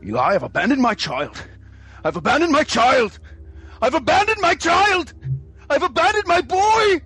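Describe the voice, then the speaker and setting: A man playing a desperate, cornered father in a parody sketch, raspy and strained as he is pushed to confess. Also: very high-pitched, big pitch swings, moderate pace, bright, forceful tone, no echo, faint music behind, exaggerated voice. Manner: strained, stammering, pleading. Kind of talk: movie-parody sketch acting.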